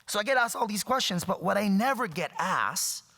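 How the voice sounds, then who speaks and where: Thirties man from the Philippines as a guest speaker, wry and emphatic, bright voice carrying through a reverberant hall. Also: sits high, expressive, a little fast, creaky. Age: 30s. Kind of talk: public talk. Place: lecture hall.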